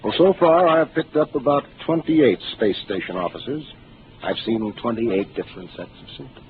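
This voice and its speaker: An actor playing a calm, seasoned starship captain who speaks in a measured, authoritative way, in a 1950s radio drama. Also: male voice, mid-range voice, close to an everyday voice. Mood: explaining. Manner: measured, authoritative, explaining patiently. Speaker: ship captain. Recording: hissy narrow 1950s radio broadcast.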